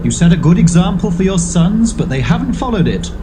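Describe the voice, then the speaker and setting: A blunt elder of the nation, high-pitched and declamatory, with strongly swinging intonation. It is insistent audio Bible drama over clear background noise. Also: male voice, very animated, a little fast, dark tone. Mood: insistent demand. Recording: noisy background ambience.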